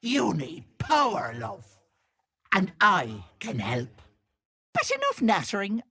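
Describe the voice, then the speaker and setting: An actress playing a gleefully malicious old hag in a video game, raspy and theatrical, with mock-sweet endearments and taunting menace. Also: female voice, low voice, a bit bright, heavily acted. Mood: coaxing, sly, then folksy, chatty. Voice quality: husky, raspy cackling old voice.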